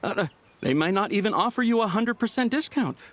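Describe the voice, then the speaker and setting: A man's voice: high voice, lively intonation, brisk, fairly bright tone. A man voicing an ingratiating tea shop vendor in a video game, polite and nervous, with a stammering delivery. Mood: nervous, evasive.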